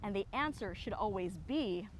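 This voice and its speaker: A woman from Los Angeles performing spoken word poetry in a duet, with a measured, emphatic delivery. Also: medium pitch, animated, slight background noise. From Los Angeles area.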